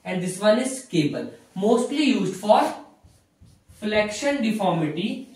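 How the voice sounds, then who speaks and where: A man's voice: high-pitched, very animated, measured pace, a little mellow. A young man teaching in lecture style, repeating key phrases for emphasis and often saying okay. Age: young adult. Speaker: physiotherapy teacher. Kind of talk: classroom-style lecture at a whiteboard. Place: small bare indoor room with whiteboard. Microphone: camera mic a few steps away. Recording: very echoey room, distant microphone.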